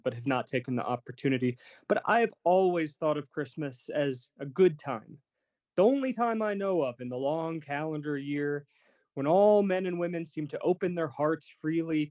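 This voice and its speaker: A man plays a cheerful young nephew with a slightly high, earnest voice. He pleads his case with good nature in a radio drama. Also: a little mellow, close to an everyday voice. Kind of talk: radio play acting.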